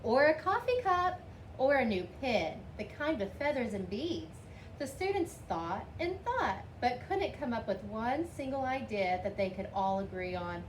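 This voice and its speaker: Fairly high-voiced woman reading a children's story. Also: sing-song, unhurried, echoey room, low-quality recording. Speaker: storybook reader. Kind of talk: children's picture book read-aloud. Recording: faint background noise.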